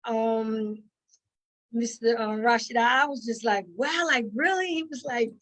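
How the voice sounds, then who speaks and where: Higher-pitched mature woman chatting casually. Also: a little slow, fairly bright tone. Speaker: actress, interview guest. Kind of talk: casual chat, storytelling.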